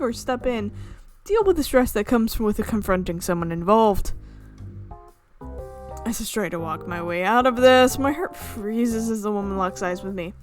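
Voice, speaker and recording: A woman reading a visual novel aloud as a let's player, narrating dramatically and slipping in casual asides. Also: mid-range voice. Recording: music playing behind the voice.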